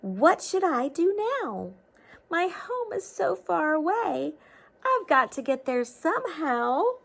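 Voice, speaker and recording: Woman voicing a high, worried little bumblebee boy. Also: sing-song, takes it slowly, creaky, exaggerated voice. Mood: worried, forlorn. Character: little male bumblebee, worried, bewildered and scared.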